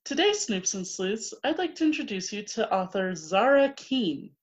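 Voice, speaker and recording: A woman's voice: mid-range voice, big pitch swings, moderate pace. A woman hosting a podcast, friendly and eager, interviewing an author. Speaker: podcast host. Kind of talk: casual interview chat. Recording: dull lo-fi audio.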